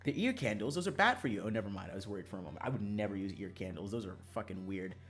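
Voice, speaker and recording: A man streaming a visual novel, chatting casually with viewers in an animated, sweary, emphatic way over background music. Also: fairly low pitch, very fast.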